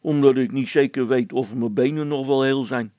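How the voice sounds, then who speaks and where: A man's voice: medium pitch, fairly even intonation, moderate pace, mellow, dry recording, natural voice. A man voicing a gloomy, whining village policeman in a storybook, plaintive, grumbling and hesitant. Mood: pained whining.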